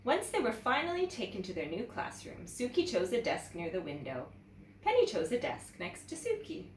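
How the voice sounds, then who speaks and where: A woman teacher reading a children's story aloud in an expressive, animated way, pausing to check in with listeners. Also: fairly high pitch. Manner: expressive, pauses to ask listeners questions. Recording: roomy echo, distant mic, faint noise.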